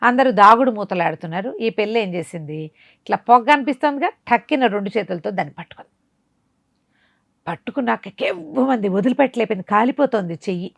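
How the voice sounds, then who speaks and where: A woman telling a folk moral tale in a conversational, dramatic way, pausing for asides and rhetorical questions. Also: medium pitch, animated, vocal fry, no echo. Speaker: storyteller. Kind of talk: animated oral storytelling of a folk moral tale.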